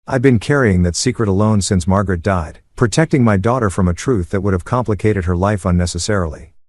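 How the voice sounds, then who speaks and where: A man narrating a first-person story in a steady, measured way. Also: fairly low pitch, no echo, studio quality.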